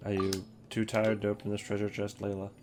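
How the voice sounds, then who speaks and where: A man with a fairly low voice hosts a ranking in a casual way. He thinks aloud with hesitant, informal phrasing as he gives his commentary. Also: completely flat delivery, brisk, background noise. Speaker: host ranking game voice lines. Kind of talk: casual commentary on a tier list.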